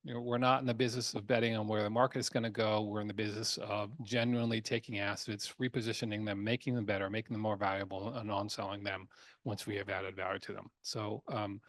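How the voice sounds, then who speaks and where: A man speaking as a company chairman on an earnings call, explaining emphatically in a halting way, often repeating words and restarting. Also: fairly low pitch, fairly bright tone, no room sound. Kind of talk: earnings call presentation, semi-scripted business talk.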